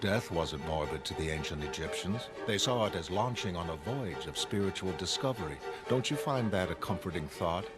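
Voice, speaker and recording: An actor playing a refined, philosophical wealthy businessman, measured and authoritative, in comic-book drama dialogue. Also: deep voice, lively intonation. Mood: composed. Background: music.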